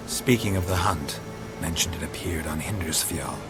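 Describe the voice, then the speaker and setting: An actor playing a gruff, stoic, middle-aged monster hunter in a video game, terse and deadpan. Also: male voice, low-pitched, moderate pace, natural voice. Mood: matter-of-fact. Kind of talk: video game cutscene acting. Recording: loud music and sound effects behind.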